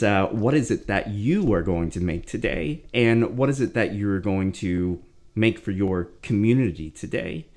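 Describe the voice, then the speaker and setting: Male interview host, somewhat low pitch, casual and encouraging, slightly hesitant delivery.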